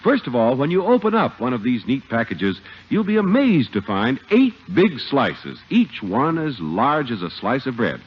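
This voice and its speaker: A man's voice: medium pitch, huge pitch swings, normal speed, hardly any pauses, slightly rough. A man reading a 1950s radio commercial as the announcer, with enthusiastic, polished salesmanship. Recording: muffled 1950 radio recording.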